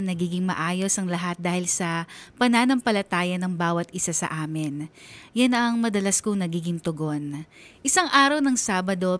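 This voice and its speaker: Lowish-voiced wistful young woman narrator played by an actress. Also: lightly acted. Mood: burdened, wistful, then reflective. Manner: confessional, wistful. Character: troubled, reflective young woman outcast telling her story. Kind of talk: dramatized letter narration for radio.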